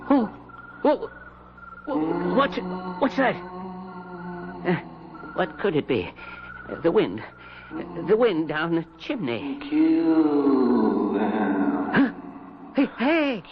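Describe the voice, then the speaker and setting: An actor in a 1970s radio drama playing a stubborn, excitable colonial man with a folksy, blustering, stammering manner. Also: high voice, slow pace, pauses often, music in the background, exaggerated voice. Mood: nervous, alarmed. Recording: muffled vintage radio, clear background noise.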